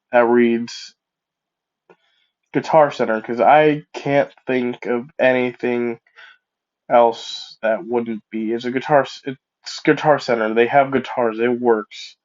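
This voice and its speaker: Slow, rambling man with a stuffy cold. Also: mid-range voice, a little mellow. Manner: rambling, self-correcting, casual asides. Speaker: fan story narrator. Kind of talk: improvised fan-fiction storytelling. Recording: dull lo-fi mic.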